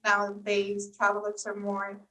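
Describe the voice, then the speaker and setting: A woman's voice: medium pitch, completely flat delivery, unhurried, pushed and bright, distant mic, slight background noise. A woman from Costa Rica who owns a tourism marketing agency, answering interview questions in a chatty way with lots of "you know.".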